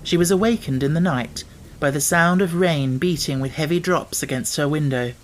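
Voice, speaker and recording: A man reading an audiobook as narrator, telling the story in a measured, expressive way. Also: fairly high pitch.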